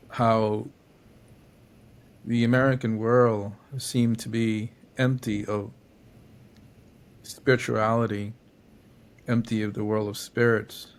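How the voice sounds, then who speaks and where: A middle-aged man from Cambodia, a writer answering interview questions in a reflective, halting way with many fillers and restarts. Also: on the low side, gravelly. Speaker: poet and novelist guest.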